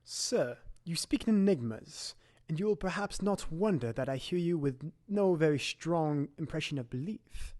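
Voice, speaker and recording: A man acting a grave, higher-voiced Victorian gentleman doctor. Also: expressive, lightly acted. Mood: wary, formal. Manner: formal, dramatic, measured storytelling. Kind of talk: audio drama narration.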